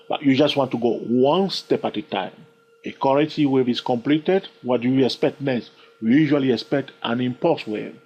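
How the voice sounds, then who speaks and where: Husky, animated male trading teacher. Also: medium pitch. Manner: animated, keeps asking the listener "yes or no?" and "okay?". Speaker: trading instructor. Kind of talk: screen-recorded chart lesson. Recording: dull lo-fi recording.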